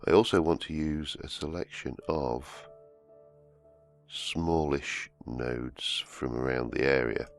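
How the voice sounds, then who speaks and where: Very deep, croaky man with a cold. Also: steady tone, slow pace. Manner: rambling, self-correcting, humming to himself. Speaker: let's-play host. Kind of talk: casual gameplay commentary. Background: soft background music.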